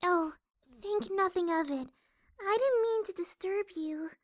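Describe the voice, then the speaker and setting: Very high, timid pegasus mare. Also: female voice, a little slow, long reverb tail. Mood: flustered apology. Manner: apologetic, polite. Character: timid, gentle young female pegasus pony. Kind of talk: cartoon-style audio story acting.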